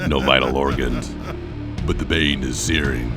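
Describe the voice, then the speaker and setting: A man's voice: extremely low pitch, steady tone, measured pace, over loud music. A man voicing a grim, brooding masked vigilante in terse inner monologue for a motion comic. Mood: grim, pained.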